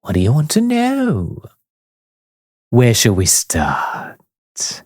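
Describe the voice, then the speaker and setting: A man's voice: mid-range voice, huge pitch swings, extremely slow, a little mellow, no echo, clean studio sound, pitched above the performer's own voice, full character voice. A man voicing a flippant, sulky shadow-fey trickster in a tabletop fantasy game, drawling in a showy, mock-bored way.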